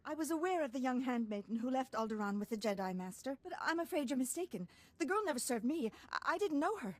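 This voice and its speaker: A woman's voice: high voice, expressive, quick pace, no room sound. An actress playing a haughty, easily rattled aristocratic lady in a video game, refined and dramatic. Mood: nervous. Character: haughty young aristocratic lady, anxious and pleading when threatened. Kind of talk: video game cutscene acting.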